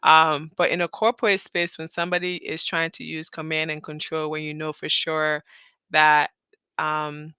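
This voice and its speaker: Bright, chatty woman hosting. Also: deep voice, flat delivery, normal speed, clear, resonant tone, no room sound. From Cameroon. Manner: chatty, laughing, loose and rambling. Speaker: host. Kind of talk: live audio chat interview.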